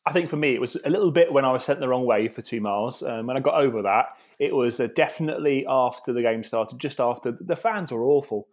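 A man on a football podcast panel talks quickly in casual chat. He gives his views bluntly and critically, at a mid pitch.

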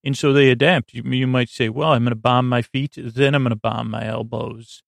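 A man hosting a sleep podcast, rambling in a soothing, creaky drawl that wanders off topic and interrupts itself with wry asides. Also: mid-range voice, steady tone, normal speed, dry recording. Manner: meandering, self-interrupting, wry. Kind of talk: rambling late-night monologue.

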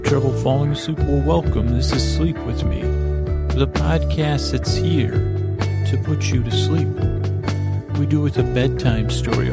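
A man hosting a bedtime podcast in a meandering monologue, halting and interrupting himself as he drifts into tangents. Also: mid-range voice, gravelly. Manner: rambling, halting, self-interrupting with trailing tangents. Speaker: podcast host.

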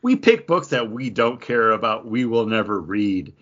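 A man co-hosting a podcast in casual chat, rambling and animated with lots of restarts. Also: lowish voice, normal speed. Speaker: podcast co-host. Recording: dull lo-fi podcast audio.

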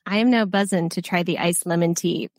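A woman's voice: on the low side, dry recording, clean studio sound. Bubbly American woman podcast host. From United States. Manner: bubbly, effusive, quick to gush. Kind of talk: enthusiastic podcast interview.

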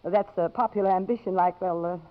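Poised, strong-willed career woman played by an actress, middle-aged, crisp delivery, muffled old radio drama sound. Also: fairly low pitch, fairly even intonation, brisk, lightly acted. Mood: flustered, wistful. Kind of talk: 1950s radio drama acting. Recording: muffled vintage 1950s radio recording.

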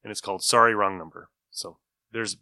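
A man speaks casually as a podcast co-host, in a slightly low voice with a sharp, bright tone. His remarks are plain and informal, and the sound is dry and free of room echo. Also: steady tone, brisk. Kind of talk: casual chat, suggesting shows.

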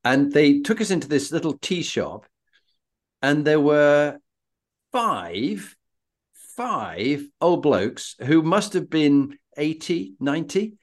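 A man's voice: on the high side, very animated, slow. A man with a British accent hosting a conversational interview, telling anecdotes in an effusive, emphatic way. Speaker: interview host.

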